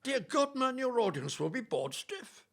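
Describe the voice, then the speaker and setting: Casting: male actor as haughty Elizabethan playwright for comic audio drama, high-pitched, creaky, declamatory, easily affronted. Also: sing-song, a little slow, fairly bright tone, heavily acted. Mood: indignant. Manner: pompous, declamatory, condescending. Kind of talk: comic audio play acting.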